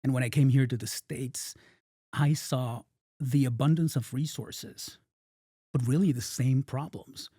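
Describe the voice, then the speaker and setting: A middle-aged man from Argentina speaks in a mellow, relaxed voice. His answers come measured and thoughtful, often drifting quieter at the ends of phrases, in a dry, close recording. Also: medium pitch, husky, dry recording. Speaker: startup founder and guest. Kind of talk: interview answers.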